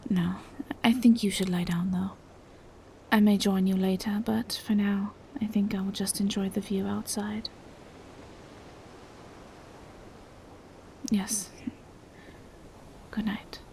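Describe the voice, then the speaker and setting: Woman as a slow, whispery, bashful vampire. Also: mid-range voice, level tone, a little hiss or hum. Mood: tender, wistful. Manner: soft, hesitant, breathy half-whispers. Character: gentle, bashful female vampire in monstrous true form, lovestruck. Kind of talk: intimate roleplay audio acting.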